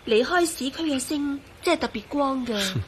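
A woman acting a gentle, hopeful and caring character in a radio drama, earnest and coaxing, with a Hong Kong Cantonese accent. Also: high voice, lively intonation, moderate pace, a little hiss or hum.

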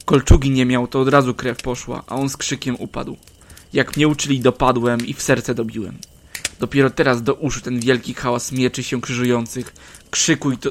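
Old soldier yarn-spinner voiced by a man: measured, archaic diction, mid-range voice, faint hiss behind. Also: medium pitch, natural voice. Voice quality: measured, archaic storyteller's delivery. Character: aging male war veteran telling tales to travelers. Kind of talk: first-person storytelling in old-fashioned speech.

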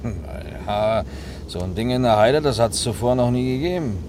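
Male official's driver played by an actor, mid-pitched, cautious, admonishing, colloquial radio drama, clear vehicle noise. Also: animated, moderate pace, lightly acted. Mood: earnest, admonishing. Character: cautious, dutiful male chauffeur of a party official. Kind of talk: naturalistic German radio drama acting.

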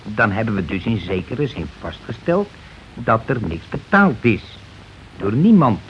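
An actor playing a bossy, calculating working-class husband in a Dutch radio play, blunt and argumentative in colloquial speech. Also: male voice, on the low side, very animated, a little slow. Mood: businesslike. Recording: dull, noisy old radio recording.

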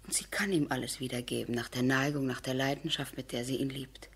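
An actress playing a grieving, resolute noblewoman in a radio play, very breathy and often near a whisper. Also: rumbling low, fairly even intonation, a little fast, heavily acted. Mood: anguished, resolute. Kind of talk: German radio play acting.

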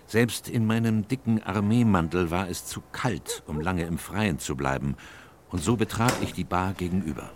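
An actor playing a loyal, worried Victorian gentleman who recounts events in an earnest, measured way for a radio drama. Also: low voice. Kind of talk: radio drama narration and dialogue. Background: music.

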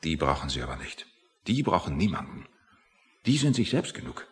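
A man's voice: extremely low pitch, big pitch swings, moderate pace. An actor playing a grumpy, self-satisfied, pedantic man in a satirical radio play. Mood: peevish. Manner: pedantic, lecturing, easily offended. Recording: reverberant hall-like room.

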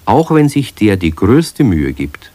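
A man's voice: on the low side, big pitch swings, slow, mellow, no echo, heavily acted. A man narrating a children's radio play as a friendly storyteller, speaking directly to young listeners. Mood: friendly, explanatory.

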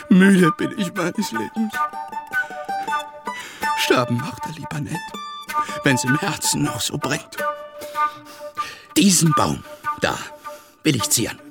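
A man's voice: on the high side, creaky, exaggerated voice. A man playing a lovelorn, simple bird-catcher, plaintive and comically self-pitying in theatrical radio drama verse.

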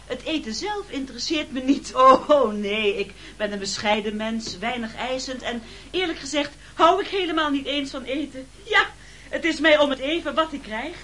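Een vrouw die in een hoorspel een quasi-bescheiden, vleierige kok speelt die aarzelend een tafelrede houdt. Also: female voice, high voice, normal speed, bright, forceful tone, a little hiss or hum. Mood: ingratiating, nervous. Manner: hesitant, self-effacing, ingratiating. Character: falsely modest, wheedling cook pleading before diners. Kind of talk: Dutch radio play acting, speech-making.

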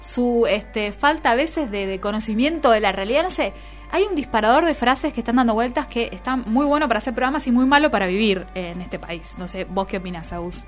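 Argentine lady radio host, chatty, kinda high voice, music under her. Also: lively intonation, fairly quick. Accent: Argentine Spanish. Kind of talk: casual radio chat.

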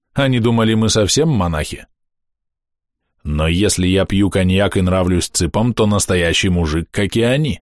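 Low-voiced man reading a diary. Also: no echo, clean studio sound. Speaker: audiobook narrator. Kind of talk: first-person diary narration.

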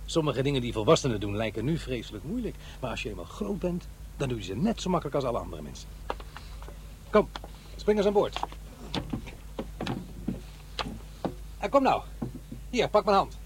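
A man's voice: mid-range voice, very animated, brisk, background noise, close to an everyday voice. An actor playing a middle-aged divorced father who muses wryly over his memories in a radio drama. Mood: tender, coaxing. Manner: musing, hesitant, talking to himself. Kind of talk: radio drama monologue, conversational and introspective.

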